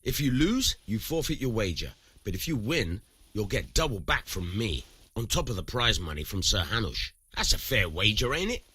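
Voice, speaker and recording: An actor playing a cynical, rough-tongued medieval commoner with a husky voice and colloquial, emphatic delivery in a video game. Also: male voice, lowish voice, big pitch swings, moderate pace, sharp tone, no room sound, full character voice. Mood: sly, teasing. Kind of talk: video game dialogue acting.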